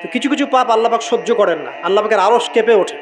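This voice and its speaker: A man's voice: high-pitched, rapid-fire. A Bangladeshi man preaching an Islamic sermon, emphatic, repeating key phrases and putting rhetorical questions to his listeners. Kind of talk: waz sermon to an audience. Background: music.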